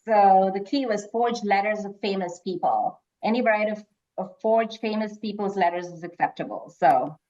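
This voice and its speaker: A woman's voice: medium pitch, normal speed, dark tone. A woman hosting a quiz, reading questions aloud and moderating informally. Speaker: quiz host. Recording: dull lo-fi online call audio.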